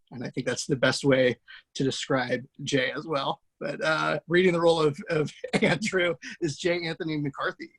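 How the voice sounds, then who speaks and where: A man hosting and narrating a screenplay table read, relaxed and chatty, with many fillers. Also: fairly high pitch. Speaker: writer and host.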